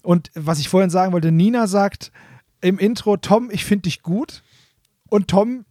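A man with a notably high-pitched voice co-hosts a podcast. He explains things in a casual, chatty way, recorded very dry with no room sound. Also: unhurried, mellow, dry recording. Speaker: podcast co-host.

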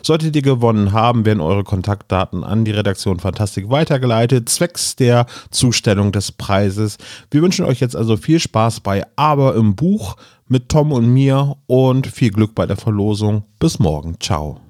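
A man's voice: on the low side, lively intonation, normal speed, darkish tone, no room sound, clean studio sound. A man hosting a podcast in a casual, chatty style. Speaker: podcast host. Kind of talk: casual podcast chat and announcements.

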